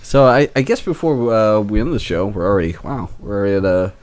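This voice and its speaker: A man's voice: fairly low pitch, expressive, darkish tone. A man in his late twenties hosting a podcast in casual chat, often stammering and restarting as he goes. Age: late 20s. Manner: halting, stammering delivery. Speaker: podcast host.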